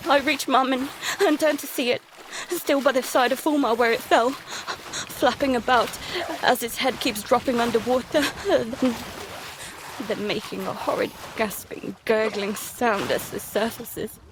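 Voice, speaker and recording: A woman playing a terrified young daughter, narrating an audio drama in the first person in halting, emotional fragments. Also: fairly high pitch, steady tone, noisy background, lightly acted. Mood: panicked, tense. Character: terrified young daughter recounting a monster attack at sea.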